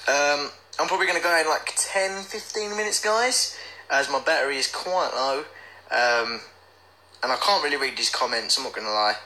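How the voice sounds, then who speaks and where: A man's voice: mid-range voice, moderate pace, sharp tone, low-quality recording. A young man chatting casually on a livestream, reading out comments in a playful, silly manner. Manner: playful, silly, breaks into bits of song. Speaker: livestream host.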